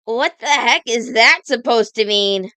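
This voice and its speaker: A woman's voice: on the high side, a little slow, bright, forceful tone, no room sound. A woman playing an earnest, guilt-ridden teenage girl in a cartoon comic dub, speaking in a sincere, dramatic way. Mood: defensive, upset.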